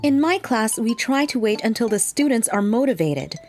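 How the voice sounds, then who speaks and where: A woman playing a calm, perceptive teacher. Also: fairly high pitch, moderate pace, soft background music, natural voice. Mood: composed. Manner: composed and firm.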